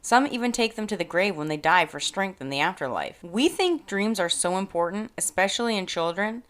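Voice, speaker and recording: A woman with an American accent hosting a podcast, reading scripted personal narration in an expressive, emphatic way. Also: medium pitch, fairly quick. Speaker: podcast host.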